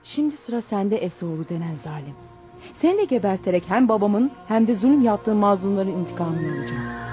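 A woman's voice: medium pitch, animated, a little fast, close to an everyday voice. An actress playing a fierce young peasant woman out for vengeance in a Turkish radio play, menacing and declamatory. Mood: cold vengeance. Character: fierce young peasant woman avenging her murdered father. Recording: music clearly behind the voice.

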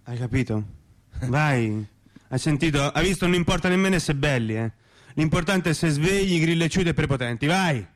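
A man's voice: a little high, animated, normal speed, lightly acted. An actor playing a cheeky, blunt provincial man with a Tuscan accent, chatting and interjecting in a radio comedy. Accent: Tuscan Italian. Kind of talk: theatrical radio comedy dialogue.

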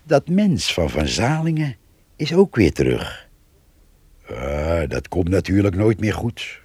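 Deep, husky village storyteller. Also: male voice, sing-song, slow, a little mellow, no echo, heavily acted. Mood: wry, gossipy. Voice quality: husky, gravelly. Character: gossipy male village storyteller, wry and wistful. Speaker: narrator. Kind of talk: radio play narration.

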